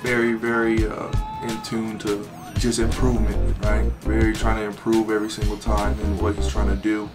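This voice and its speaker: Measured coach, low even voice. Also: male voice. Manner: measured, repeats words for emphasis. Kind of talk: documentary interview. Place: office indoors. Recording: music bed under the voice. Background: background music.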